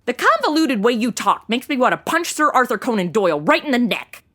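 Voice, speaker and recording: A woman playing an irreverent, slangy American sidekick in a comedy audio drama, expressive and flippant. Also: fairly high pitch, fairly quick. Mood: alarmed, insistent.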